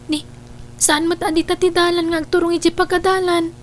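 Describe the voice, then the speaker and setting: A woman's voice: extremely high pitch, level tone, fairly quick, slight background noise. A woman playing a dutiful, worried young student in a radio drama, earnest and reproachful. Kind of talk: Ilocano radio drama acting.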